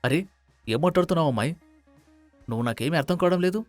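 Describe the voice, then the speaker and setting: A man's voice: fairly high pitch, expressive, fast talker, dry recording, soft background music, lightly acted. A man playing an awkward, flustered young bachelor son in a family story. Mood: baffled.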